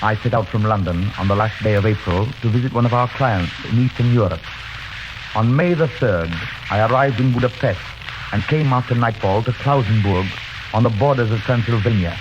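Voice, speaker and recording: A man's voice: fairly low pitch, a little fast, close to an everyday voice. An actor playing an earnest, anxious young English lawyer, narrating his journal with dramatic intensity in old radio drama style. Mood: sober. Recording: noisy, muffled old radio recording.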